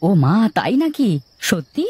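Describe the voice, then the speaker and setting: A man's voice: high-pitched, huge pitch swings, a little slow, darkish tone, husky, heavily acted. A man voicing a hardworking, affectionate village husband in a cartoon, with a homely, conciliatory manner. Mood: proud, affectionate. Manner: homely, good-natured, conciliatory. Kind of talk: cartoon dialogue acting. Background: background music.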